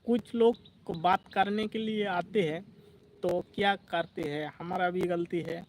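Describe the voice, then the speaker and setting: A man's voice: high-pitched, steady tone, unhurried, slight background noise. A man hosting a casual livestream, chatting, reading out viewer comments and laughing often. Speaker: livestream host.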